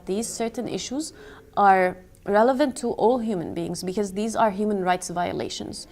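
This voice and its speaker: A woman's voice: mid-range voice, darkish tone. Earnest young woman from Palestine. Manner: earnest, measured, with thoughtful pauses. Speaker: makeup artist and activist. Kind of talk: documentary interview.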